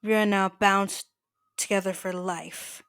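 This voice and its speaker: A woman playing an older mafia boss in an ASMR roleplay, soft-spoken, possessive and quietly menacing, with a halting, intimate delivery. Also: medium pitch, steady tone, smooth, dry recording, studio quality. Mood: solemn, commanding. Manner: halting, stammering, intimate address to the listener.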